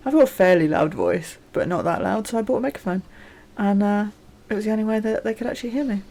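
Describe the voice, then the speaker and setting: A woman's voice: medium pitch, expressive, fast, vocal fry, mellow. A woman co-hosting a podcast, chatting casually, agreeing often and laughing easily. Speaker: podcast co-host. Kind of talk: casual chat.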